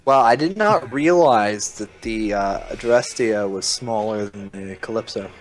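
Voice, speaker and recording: A man's voice: on the low side, unhurried, poor audio. A man chatting informally while playing a video game, speaking emphatically as he makes his points. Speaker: co-host of a gaming chat show. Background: music.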